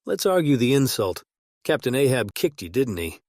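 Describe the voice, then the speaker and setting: A man's voice: medium pitch, very animated, normal speed, a little mellow, no echo, studio quality, natural voice. A man voicing an old humpbacked merman who argues and lectures in a coaxing, oratorical way. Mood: pompous persuasion. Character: old humpbacked badger-haired merman, muttering, cajoling and lecturing.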